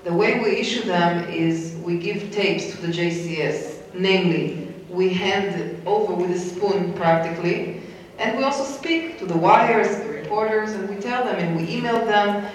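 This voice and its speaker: A man's voice: high voice, normal speed. A man answering questions as a spokesperson at a public briefing, in an echoey hall, distant from the microphone. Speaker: military spokesperson on a panel.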